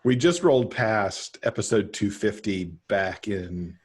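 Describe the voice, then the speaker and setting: Low husky middle-aged man. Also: measured pace. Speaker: podcast guest, author and podcaster. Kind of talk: casual conversational interview. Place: home room, on a video call. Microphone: video call.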